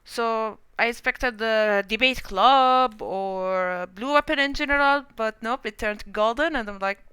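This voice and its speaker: A woman's voice: fairly high pitch, unhurried, bright, forceful tone, smooth, dry recording. A young woman in her twenties streaming a game, chatting casually and giggling while reading chat. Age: 20s.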